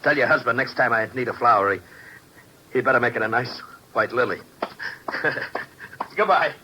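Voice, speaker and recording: An old radio drama voice, hissy and bright: a washed-up American ex-athlete turned shady private eye. He talks in edgy slang with jittery bravado. The recording is noisy and vintage. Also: medium pitch, normal speed. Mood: bitter, drunken. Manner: slangy, edgy tough talk. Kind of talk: 1950s radio drama acting.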